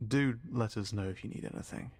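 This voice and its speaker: A man voicing a calm, coldly polite male scientist interrogator in a tabletop game, speaking softly with quiet menace. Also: lowish voice. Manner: slow, soft-spoken, quietly menacing.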